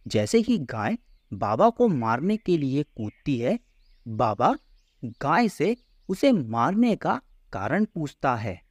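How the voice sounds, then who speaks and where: Male narrator for kids' moral tales: deliberate, unhurried, expressive, very dry studio-like sound. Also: mid-range voice, no room sound. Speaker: storyteller. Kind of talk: scripted children's story narration.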